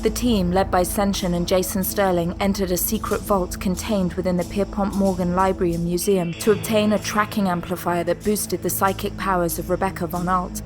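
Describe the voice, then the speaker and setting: A woman's voice: on the low side, level tone, brisk, few pauses. A woman reading scripted dramatic narration for an audio drama. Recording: music under the voice. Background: background music.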